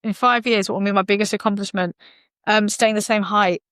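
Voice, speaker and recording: Woman in her thirties, lively podcast guest, dry close mic. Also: mid-range voice, smooth, no echo. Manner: animated, jokey, quick asides. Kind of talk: casual chat.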